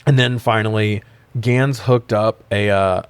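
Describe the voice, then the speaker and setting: A man's voice: fairly low pitch, steady tone, unhurried, clean tone, no echo. A man co-hosting a film review podcast in casual, profane chat, recapping and commenting with wry informality. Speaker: podcast co-host.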